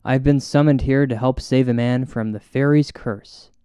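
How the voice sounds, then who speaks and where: A man hosting and narrating a spooky comedy as a paranormal investigator, mock-serious and deliberate in his storytelling. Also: mid-range voice, steady tone, mellow, clear, resonant tone, no room sound, studio quality. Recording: music bed under narration. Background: music.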